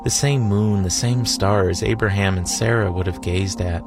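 A man's voice: fairly low pitch, normal speed. A man narrating a travel documentary in a dry, wry, reflective way. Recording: voice-over with music behind.

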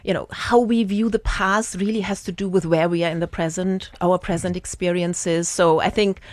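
A woman's voice: fairly low pitch, fairly even intonation, moderate pace, a little mellow, no echo. A woman with a German accent, a museum curator speaking with enthusiasm and many ums in a radio interview.

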